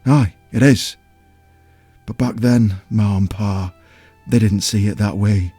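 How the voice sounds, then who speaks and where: Slow, deep-voiced gentle simple giant. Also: male voice, husky, soft background music. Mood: quietly proud. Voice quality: rustic folksy speech. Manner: halting, plain-spoken, earnest. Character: huge simple gentle potion-brewer man, childlike, wounded. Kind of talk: audio drama character acting.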